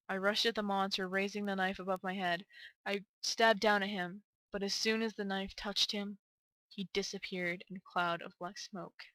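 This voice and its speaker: A woman's voice: mid-range voice, bright, forceful tone, no echo, rough recording. A woman voicing a distraught, haunted parent telling a horror tale in a dramatic, mournful reading.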